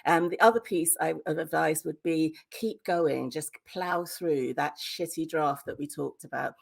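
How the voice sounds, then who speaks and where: Low-pitched British woman, candid and emphatic. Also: unhurried, vocal fry. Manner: candid, emphatic, mildly sweary. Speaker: debut novelist, podcast guest. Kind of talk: conversational interview answers, giving writing advice.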